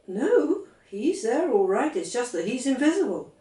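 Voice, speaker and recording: A woman reader playing an anxious, indulgent mother in a children's story, gently questioning. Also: on the high side, sing-song, unhurried, recorded from a distance, voice pushed up. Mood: questioning, firm. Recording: roomy, set back from the mic.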